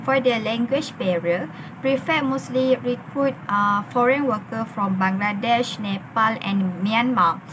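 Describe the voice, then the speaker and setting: A woman's voice: on the high side, background noise. Young Malaysian woman presenting with fillers. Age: young adult. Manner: halting, many uh fillers. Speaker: student presenter. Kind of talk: class presentation.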